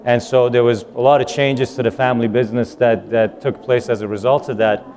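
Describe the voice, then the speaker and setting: A man's voice: mid-range voice, level tone, fairly quick, a little mellow. Man speaking as a panel guest. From Iran. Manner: fluent, frequent uh and word repeats. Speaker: panel guest, company founder. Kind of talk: live panel answer.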